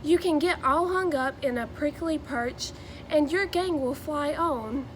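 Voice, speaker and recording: High-pitched, slow-paced young woman. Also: a bit bright, slight background noise. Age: 20s. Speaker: new education graduate reading a children's book. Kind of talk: children's read-aloud.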